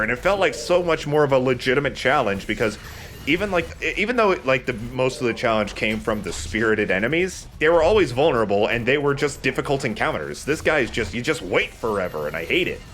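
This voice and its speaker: A man's voice: medium pitch, a bit bright, slight background noise. A man doing informal, opinionated commentary over a video game as a let's player.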